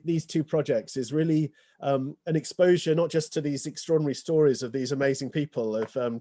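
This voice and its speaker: A man wraps up a panel discussion as its chair, measured and appreciative. His tone is mellow and dark, and the sound is dry and close. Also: fairly high pitch, dry recording.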